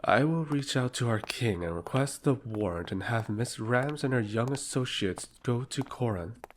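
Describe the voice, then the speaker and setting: A man playing a scheming, authoritative royal emissary in a fantasy audio drama, speaking in a formal, measured way. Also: medium pitch, slight background noise, natural voice. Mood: calculating.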